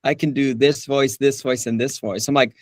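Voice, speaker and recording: Young man, voice actor, casual chat, laughs often, mid-pitched, dry close sound. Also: moderate pace, dry recording. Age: young adult. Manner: relaxed, laughs often, many restarts and fillers. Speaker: voice actor guest.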